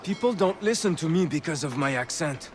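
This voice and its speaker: An actor playing a friendly, plain-spoken miner with a French Canadian accent in a video game. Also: male voice, a little high, expressive, brisk, slight background noise, natural voice. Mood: earnest, amiable. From Quebec.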